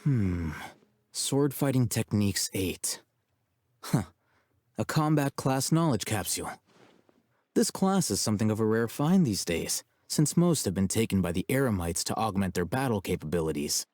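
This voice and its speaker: Game scholar, young man: composed, analytical, articulate, mellow tone, varied intonation. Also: fairly low pitch, big pitch swings, no room sound. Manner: measured, articulate, matter-of-fact. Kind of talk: scripted video game dialogue.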